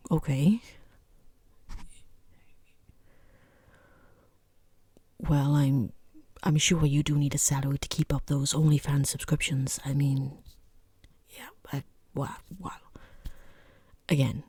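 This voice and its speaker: A woman playing a rude, condescending female boss interviewing a job applicant in soft-spoken ASMR, half-whispered, with dry, sarcastic politeness. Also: sits low, fairly even intonation, measured pace, breathy edge, dry recording. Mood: awkward, disapproving.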